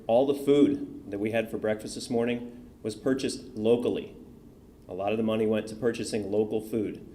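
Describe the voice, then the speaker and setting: A man with a low, mellow voice hosts an awards breakfast, making upbeat announcements and speaking directly to the audience. Faint room noise sits behind him in the hall. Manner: upbeat, addresses crowd directly. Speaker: event host. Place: event hall.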